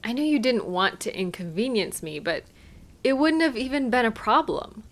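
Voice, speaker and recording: A woman playing a caring, gently chiding partner in an intimate audio roleplay, speaking soothingly to a sweetheart. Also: on the high side, very animated. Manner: tender, soothing, gently questioning and unhurried. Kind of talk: intimate scripted audio roleplay addressed to the listener.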